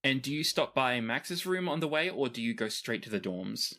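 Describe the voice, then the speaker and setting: Lively, swooping game master narrating. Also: medium pitch, moderate pace. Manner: conversational, descriptive. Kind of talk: improvised tabletop game narration.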